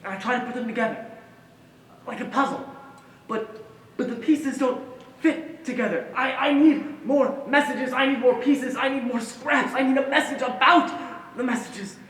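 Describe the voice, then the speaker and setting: A woman's voice: high voice, moderate pace, lightly acted. A woman on stage playing an obsessive, troubled, protective inner voice, fixated and repetitive in her intensity. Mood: obsessive, anxious. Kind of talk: theatrical stage monologue. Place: stage in a hall, one-act play performance. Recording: echoey hall from far off, faint noise.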